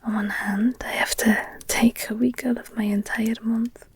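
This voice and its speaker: A woman playing an affectionate, candid werewolf girlfriend in an intimate ASMR audio roleplay, speaking tenderly and hesitantly in a breathy whisper. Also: a little high, little pitch movement, slight background noise, close to an everyday voice. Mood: confiding, hesitant. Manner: slow, tender, hesitant, confiding. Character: affectionate werewolf girlfriend, gentle, candid, wistful about her past.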